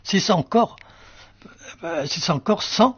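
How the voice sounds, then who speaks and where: An older male writer whose first language is Arabic, musing slowly in an interview with many fillers and restarts. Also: sits high, animated, husky, dry recording, muffled recording. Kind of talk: reflective interview answers.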